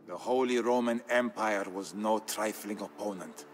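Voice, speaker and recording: A man acting as a solemn Byzantine Greek storyteller, narrating his family's war tale for a game in a grave, measured, theatrical way. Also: on the low side, faint music behind, natural voice. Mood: stern, proud. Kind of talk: dramatic scripted narration.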